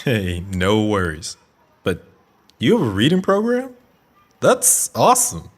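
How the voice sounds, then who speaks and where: An actor playing an earnest American community organizer in an audio drama, rallying and sincere. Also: male voice, fairly low pitch, huge pitch swings, unhurried. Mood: casual, friendly.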